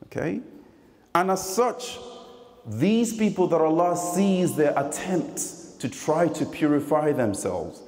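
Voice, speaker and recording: A man's voice: a little high, sing-song, takes it slowly, darkish tone, faint music behind. Man preaching in an echoing hall. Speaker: preacher giving a Friday sermon. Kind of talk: sermon. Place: large mosque prayer hall, indoors. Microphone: microphone on a stand. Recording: echoey hall with long reverb.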